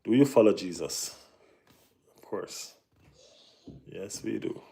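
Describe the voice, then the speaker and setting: A man's voice: lowish voice, lively intonation, takes it slowly, gravelly, dark tone, a little hiss or hum. A Jamaican man hosting a casual football live stream, reading and answering chat, often saying "you know what I mean".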